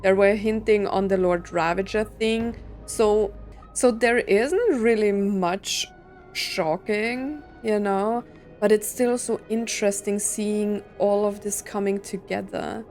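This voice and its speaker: A woman's voice: medium pitch, slow, darkish tone, soft background music. A woman giving casual reaction commentary as a gaming streamer, thinking aloud and asking questions.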